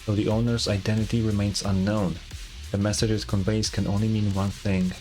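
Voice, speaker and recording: A man's voice: fairly low pitch, flat delivery, brisk, darkish tone. A man streaming a video game, reading its story narration aloud with casual asides, over game music.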